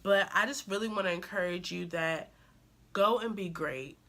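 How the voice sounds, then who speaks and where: A woman's voice: fairly low pitch, normal speed, bright, forceful tone. A young woman vlogger with a nasal voice, just over a cold, giving earnest, encouraging advice straight to her viewers in a casual, preachy way. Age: young adult. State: recovering from a cold. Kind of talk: casual advice talk to camera.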